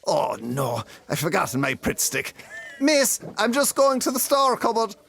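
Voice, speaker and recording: A man's voice: high voice, very animated, unhurried, gravelly, fairly bright tone, slight background noise, heavily acted. A man playing a lovestruck, awkward young schoolboy in a comic audio drama, gushing with earnest melodrama. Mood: nervous hope.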